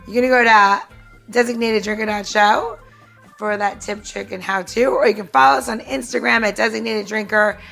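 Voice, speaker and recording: A woman's voice: fairly high pitch, fairly even intonation, moderate pace, vocal fry, a bit bright, soft background music. A woman casually walking listeners through a recipe step by step, chatty and instructive. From United States. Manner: chatty, instructive, laughs easily. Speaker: cocktail tip presenter. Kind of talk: casual how-to demo.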